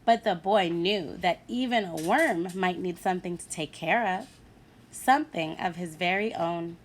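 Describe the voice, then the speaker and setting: A woman teacher reading a picture book aloud to children, animated and asking her listeners questions. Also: mid-range voice.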